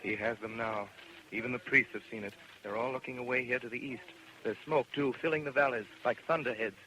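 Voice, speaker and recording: An actor playing a radio announcer who gives a tense eyewitness report in short, vivid, hushed and urgent phrases, in an old radio drama. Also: male voice, medium pitch, steady tone, brisk, lightly acted. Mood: grave alarm. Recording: very noisy old radio recording.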